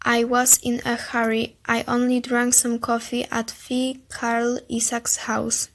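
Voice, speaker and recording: Forgetful, unbothered errand-runner played by a schoolgirl in a radio play; highish, even pitch. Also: unhurried. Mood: sheepish. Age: child or teenager.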